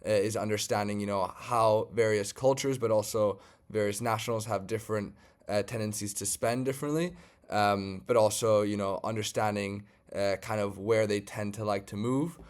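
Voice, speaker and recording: A man from Germany, a data scientist, walking through an online data set in a relaxed, conversational tutorial with frequent fillers. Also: lowish voice, normal speed. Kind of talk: screen-share tutorial walkthrough.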